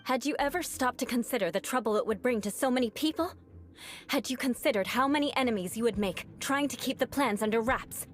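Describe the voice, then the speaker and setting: A woman's voice: fairly high pitch, a little fast, faint music behind, natural voice. An actress playing a proud young noblewoman knight who speaks with cool, dryly cutting composure in a video game. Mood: defiant.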